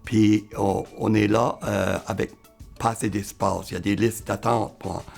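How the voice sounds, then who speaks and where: A man's voice: lowish voice, steady tone, measured pace, gravelly, a little mellow, faint music behind. A man, speaking in a documentary interview about schools, hesitant with many fillers.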